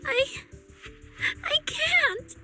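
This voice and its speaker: A woman's voice: extremely high pitch, very slow, loud music behind the voice, heavily acted. A woman playing a forlorn, self-doubting young android idol in a video game, hesitant and fragile. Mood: distraught. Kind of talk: dramatic video game voice acting.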